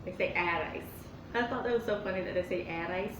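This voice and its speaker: A young woman talks loosely to her viewers, drifting between thoughts with plenty of fillers and restarts. She sits some way from the camera mic. The room is echoey and a steady background noise runs underneath. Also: fairly low pitch, fairly quick, far from the mic. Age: young adult. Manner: meandering, with many fillers and self-corrections. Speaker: YouTuber answering viewer questions. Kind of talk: casual rambling vlog chat.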